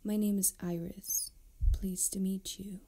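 A woman playing a flirtatious, tender pirate captain in an intimate ASMR roleplay, speaking softly and often close to a whisper. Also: medium pitch, flat delivery. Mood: gentle, inviting. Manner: soft, breathy, often near whisper, unhurried. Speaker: audio roleplay performer.

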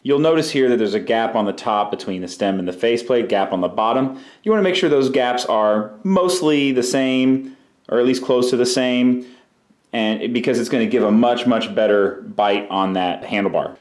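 A man's voice: mid-range voice, normal speed, darkish tone, roomy sound. A man explaining a bike repair step by step in a casual, practical way, in his workshop. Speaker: bike mechanic. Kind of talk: hands-on repair tutorial.

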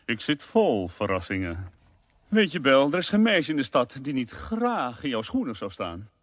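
A man playing a conceited young suitor in an animated film dub, boastful, pompous and self-assured. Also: medium pitch, normal speed, no echo. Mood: smug.

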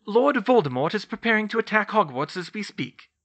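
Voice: mid-range voice, big pitch swings, a little fast, fairly bright tone, pitched above the performer's own voice. A narrator voicing an older female witch professor with a formal, commanding manner, in a fantasy audiobook.

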